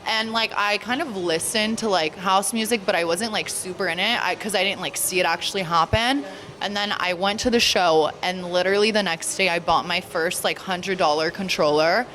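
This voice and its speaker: A woman DJ chatting casually and excitedly as an interview guest, peppering her talk with "like". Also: fairly low pitch, moderate pace, slight background noise. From California, United States. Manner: excited, gushing, frequent "like" and "literally". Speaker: DJ and producer being interviewed. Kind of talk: casual chat.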